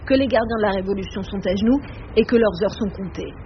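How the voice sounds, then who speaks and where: A woman reporter reading a scripted radio news report in a measured, even way. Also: mid-range voice, highs cut off, a little hiss or hum.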